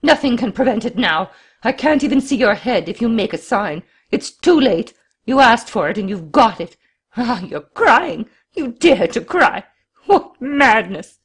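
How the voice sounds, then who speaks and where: A desperate, murderous crippled man, as a woman narrator puts him on, swinging wildly from begging to bellowing threats. The voice sits husky and breathy, pushed up high and loud at its peaks. It comes across as an audiobook reading. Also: lively intonation, normal speed. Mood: raging. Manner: wild swings from pleading to shouting.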